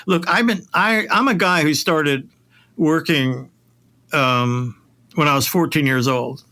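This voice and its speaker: A man's voice: a little high, slow, pushed and bright, no room sound. A middle-aged or older man hosting a casual podcast, talking in a rambling, self-deprecating, conversational way. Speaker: podcast host and voice actor.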